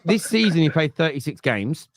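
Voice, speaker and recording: A man hosting a football podcast, casual chat that keeps correcting itself and counting stats out loud. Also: a little high, very animated. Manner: rapid, self-correcting, counts stats aloud. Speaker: podcast host.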